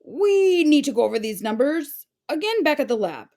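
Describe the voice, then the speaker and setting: Lady doing a self-important man doctor from a kids book, bossy expert tone, pitch bouncing around. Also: very animated, normal speed, warm tone. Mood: brisk, evasive. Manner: authoritative. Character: pompous male doctor, a baffled expert.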